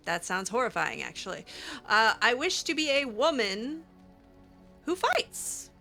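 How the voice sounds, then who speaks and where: A woman's voice: a little high, big pitch swings, a little slow, gravelly, bright, forceful tone, faint music behind. A woman hosting a game roleplay, talking back to characters with sarcastic, hesitant remarks and laughs. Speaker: roleplay game player and channel host.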